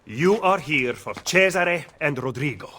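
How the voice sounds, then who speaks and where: An actor playing a calculating, stern Italian political adviser in a video game, formal and insistent. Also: male voice, fairly high pitch, expressive, measured pace, bright, forceful tone, full character voice. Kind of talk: video game cutscene acting.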